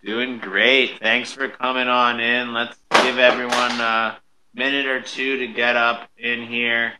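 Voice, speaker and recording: A man's voice: mid-range voice, flat delivery, unhurried, very noisy. A man hosting a live online audio room in an upbeat, enthusiastic, chatty way. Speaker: host of an online audio show. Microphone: live social audio room call.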